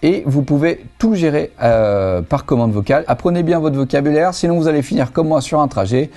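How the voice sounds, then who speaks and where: A man talks through a product in a mellow, relaxed voice. He is chatty and explanatory, using everyday wording, with light music underneath. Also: a little high, a little fast. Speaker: motorcycle gear reviewer, host. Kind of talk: casual product review to camera.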